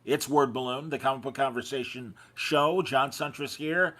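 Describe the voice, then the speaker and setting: A man's voice: mid-range voice, steady tone, moderate pace, bright, forceful tone. A man hosting a podcast, chatting casually and effusively with his guests. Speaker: podcast host. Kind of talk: casual interview chat.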